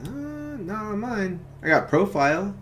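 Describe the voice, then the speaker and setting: A man's voice: high-pitched, sing-song, a little slow, fairly bright tone. A man hosting a film watch-along stream, talking in a casual, jokey, informal way. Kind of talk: casual chat and film commentary.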